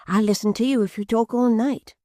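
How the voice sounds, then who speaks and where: A woman's voice: fairly high pitch, fairly quick, mellow, dry recording, studio quality, voice pushed up, lightly acted. A woman voicing an eager, simple-minded young man who talks in wondering, pleading bursts. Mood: dreamy pleading.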